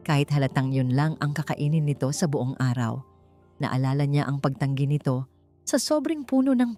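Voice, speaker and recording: A woman with a Filipino accent narrating a scripted story in a measured, dramatic way. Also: low-pitched, a little mellow. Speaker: audiobook narrator. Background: background music.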